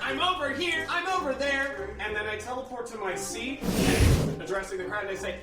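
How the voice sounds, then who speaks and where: A man's voice: very high voice, a little fast. Guy playing a tabletop rpg, playful, echoey and off mic, music going. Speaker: player in a tabletop game. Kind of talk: playful in-character game chat. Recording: roomy, distant mic, music underneath.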